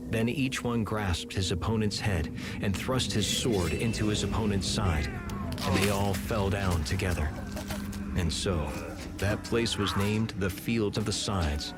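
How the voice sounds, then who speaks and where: Male narrator, low register, measured and solemn scripture reading over clear music.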